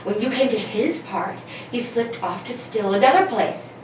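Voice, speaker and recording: A woman's voice: fairly high pitch, animated, moderate pace, close to an everyday voice. A woman playing a clever, impatient detective son in an amateur comedic radio play, with a wry, explaining manner. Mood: reasoning. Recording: echoey room, distant mics, noisy.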